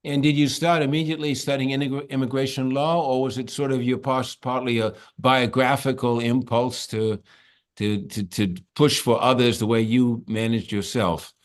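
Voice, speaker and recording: A man hosting an interview, asking long, halting questions full of restarts. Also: mid-range voice, fairly even intonation. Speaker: interviewer and host. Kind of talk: conversational interview.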